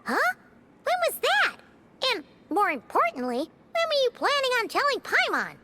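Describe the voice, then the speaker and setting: A woman voicing a small, childlike fairy companion in a video game, squeaky, curious, excitable and exclamatory. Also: normal speed, heavy background noise, heavily acted. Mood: surprised, indignant. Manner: speaks of self in third person, exclamatory.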